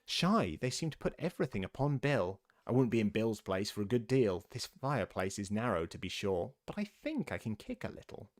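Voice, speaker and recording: A man's voice: fairly low pitch, very animated, brisk, hardly any pauses. A man voicing a pensive, plucky young girl in a storybook, musing in a self-assured manner.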